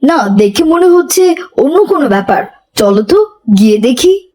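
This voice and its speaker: Woman voicing an earnest high-voiced young boy. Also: sing-song, moderate pace, darkish tone. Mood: earnest, doubtful. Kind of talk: cartoon voice acting.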